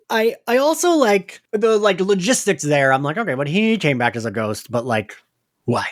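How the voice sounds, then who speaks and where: Animated higher-pitched man chatting on a podcast. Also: no room sound, clean studio sound. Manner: animated, frequent filler "like", quick to laugh. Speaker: podcast host. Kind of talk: casual recap chat about an audio drama.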